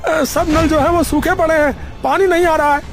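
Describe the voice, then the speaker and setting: Man doing a very high-pitched excitable villager. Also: normal speed, faint music behind. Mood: pleading. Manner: repetitive, exclaiming, folksy filler phrases. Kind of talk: Hindi cartoon story voice acting.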